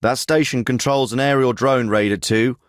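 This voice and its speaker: An actor playing a terse, profane special forces team leader in a video game. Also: male voice, mid-range voice, fairly even intonation, normal speed, no echo, natural voice. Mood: businesslike. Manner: terse, profane and matter-of-fact. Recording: dry studio recording.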